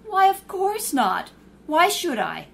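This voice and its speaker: An actress playing a poised young woman sleuth in a 1930s-style radio drama, polite and quick-witted, then indignant. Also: very high voice, wildly swooping pitch, creaky, a bit bright, heavily acted. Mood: charming.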